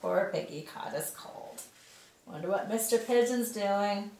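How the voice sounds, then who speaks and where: A woman's voice: mid-range voice, a little slow, a little mellow. A woman storyteller reading a picture book aloud to young children. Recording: echoey room.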